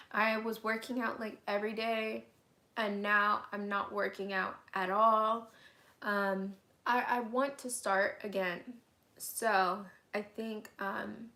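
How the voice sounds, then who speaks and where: A young woman, hoarse and getting over an illness, chatting candidly through a live Q&A at home, answering viewer comments with lots of "um"s and "like"s. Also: on the high side, a bit bright. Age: young adult. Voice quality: raspy. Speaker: vlogger hosting a live Q&A. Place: at home, indoors.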